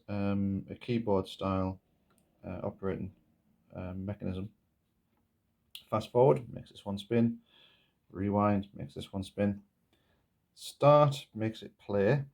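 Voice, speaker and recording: Male demo presenter, casual and hesitant, soft-edged dark tone with noticeable fry. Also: fairly low pitch. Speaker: presenter of a gadget demonstration video. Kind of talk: casual hands-on demonstration, explaining step by step. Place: indoors at a wooden table.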